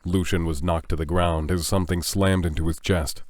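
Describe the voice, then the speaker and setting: Low-voiced man narrating a dramatic story. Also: steady tone, moderate pace, a little mellow.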